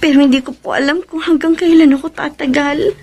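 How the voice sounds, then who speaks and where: A Filipino actress playing a meek, lovelorn daughter in a radio drama, plaintive and pleading. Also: female voice, high voice, steady tone, a little fast, darkish tone. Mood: plaintive, longing. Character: lovelorn, suffering adult daughter, meek and weary.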